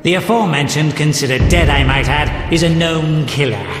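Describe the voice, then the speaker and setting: A man's voice: on the high side, normal speed, natural voice. Secondary mage villain, male actor: raised register, formal, wary, resonating hall with music. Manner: formal, wordy. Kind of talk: dramatic fantasy game acting.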